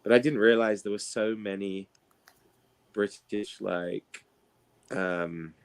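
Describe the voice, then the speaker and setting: Casual male talker, low register, loose anecdotal delivery for an informal book discussion. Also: fairly even intonation, slow pace. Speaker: participant in an online book discussion. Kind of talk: casual chat, anecdotal storytelling.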